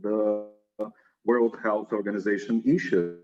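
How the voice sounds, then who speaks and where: A middle-aged man from Brazil who speaks Portuguese natively, talking in English with a Brazilian accent as an interview guest. He speaks in a relaxed, thoughtful way, pausing often on "uh". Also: fairly low pitch, soft background music. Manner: relaxed, thoughtful, with frequent "uh" pauses. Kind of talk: casual conversational interview. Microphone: video call. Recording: dull lo-fi video call audio.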